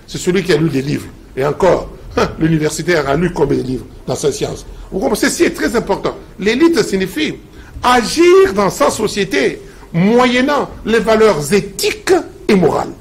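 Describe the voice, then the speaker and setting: A man's voice: high-pitched, expressive, moderate pace, gravelly, a little hiss or hum. A man, a professor lecturing emphatically in a TV debate. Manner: emphatic, lecturing, repeats slogans.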